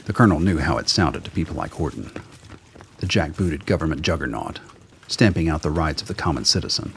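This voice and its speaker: A man's voice: very deep voice, animated, brisk, vocal fry, a little hiss or hum. A man reading scripted narration for a radio drama.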